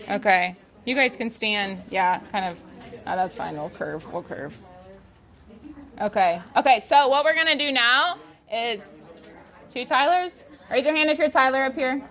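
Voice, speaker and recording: A woman's voice: a little high, animated, unhurried, a bit bright. A woman leading a volunteer training activity, giving informal instructions to a group in a casual, improvising way.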